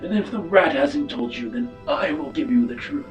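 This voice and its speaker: A man playing a grave, secretive alien elder statesman in a tabletop roleplay, speaking formally and with measured solemnity. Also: sits high, very animated, vocal fry, far from the mic, faint music behind, full character voice. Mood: grave, foreboding.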